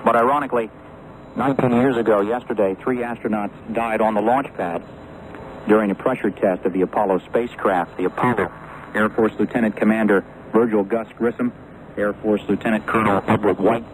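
Man, reporter, low voice, deliberate, faint radio hiss. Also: fairly even intonation, poor audio. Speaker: wire service reporter. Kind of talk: live news background report.

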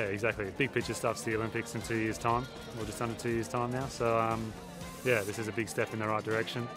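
A young man in his twenties answering interview questions outdoors by a harbour, relaxed and full of fillers. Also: mid-range voice. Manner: relaxed, many fillers, flat delivery. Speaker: athlete interviewee.

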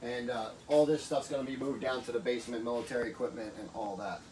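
A man's voice: medium pitch, steady tone, quick pace, a little mellow. Man, middle-aged, casual how-to talk, plainspoken, handheld camera, outdoors, faint background noise. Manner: chatty, plainspoken, explains step by step. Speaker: DIY vlogger. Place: home garage and front yard outdoors. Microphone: handheld camera close up.

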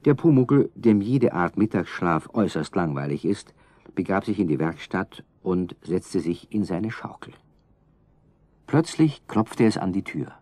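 The calm storyteller of a children's radio play. His voice is very low and mellow, with expressive rises and falls. He reads in a composed storybook style. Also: measured pace, dry recording. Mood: calm, amused. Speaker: narrator. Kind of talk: scripted storybook narration.

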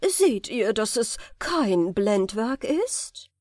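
A woman's voice: fairly high pitch, big pitch swings, extremely slow, a little mellow, dry recording, clean studio sound, exaggerated voice. A woman voicing an effusive, doting sultan in a fairy tale, grand and exclamatory. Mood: delighted. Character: effusive, delighted sultan, a doting royal father.

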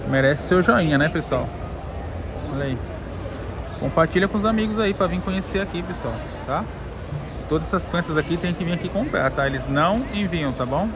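A Brazilian man with a fairly high voice talks casually to his viewers as he vlogs. His delivery is chatty and informal, like a walk-through of a market. Street noise is clearly audible around him outdoors. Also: expressive, brisk. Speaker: vlogger showing a plant market. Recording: noisy outdoor recording with street sounds.

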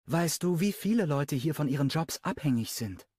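A man reading an audiobook and voicing a fiery, sharp-tongued young woman in a raised, high register. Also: animated, moderate pace, husky, dry recording. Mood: questioning.

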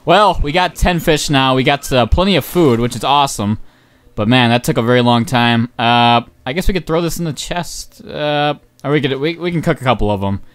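Hoarse young man rambling over gameplay. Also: medium pitch, fairly quick. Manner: rambling, excitable, swears, many ums and uhs. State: voice going hoarse. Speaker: gaming channel host. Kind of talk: casual gameplay commentary.

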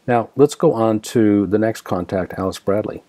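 An American man narrating a step-by-step software demonstration in a methodical, explanatory way. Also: on the low side, moderate pace, dry recording. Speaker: software tutorial presenter.

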